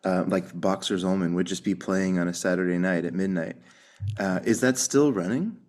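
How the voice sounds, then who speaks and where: A man hosting a film podcast, his voice sitting deep and low. He talks casually and eagerly, full of curious questions and quick asides. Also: darkish tone. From United States. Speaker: podcast host. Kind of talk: casual interview chat.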